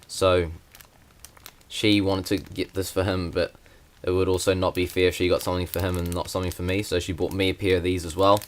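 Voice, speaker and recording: Low, flat-voiced young man. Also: brisk, slight background noise. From New Zealand. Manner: plain, hesitant, many fillers. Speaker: vlogger. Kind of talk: casual unboxing vlog.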